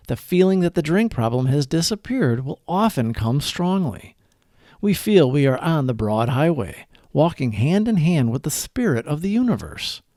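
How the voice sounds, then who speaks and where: A man with a slightly high voice reads a scripted reflection aloud in very lively, varied intonation. His delivery is earnest and measured, each phrase set out deliberately. The sound is close and dry. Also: dry recording. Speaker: host of a daily recovery reading.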